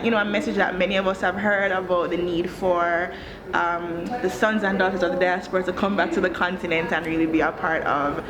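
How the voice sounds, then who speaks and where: A woman from Jamaica in her thirties, an education director answering interview questions in a thoughtful, articulate, measured way. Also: fairly low pitch. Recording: background noise.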